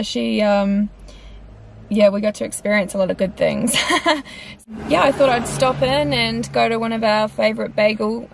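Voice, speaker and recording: A woman's voice: on the high side, creaky. A young Australian woman chatting casually and slangily to camera in an upbeat way, vlogging from inside a car. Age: young adult. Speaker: travel vlogger.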